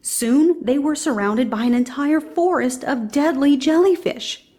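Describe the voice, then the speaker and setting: A woman's voice: high voice, unhurried, mellow. A woman reading a children's storybook aloud as scripted narration.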